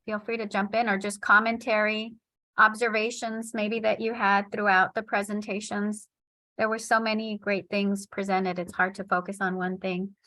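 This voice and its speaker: A woman's voice: mid-range voice, little pitch movement, moderate pace, pushed and bright, no echo, rough recording. A woman moderating a seminar question round on a video call, inviting and encouraging participants to speak.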